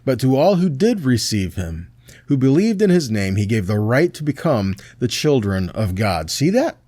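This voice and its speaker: A man's voice: medium pitch, very animated, moderate pace, dark tone, no room sound. A man teaching scripture in a sermon, reading verses aloud and checking in with short tag questions. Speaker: preacher teaching a Bible study.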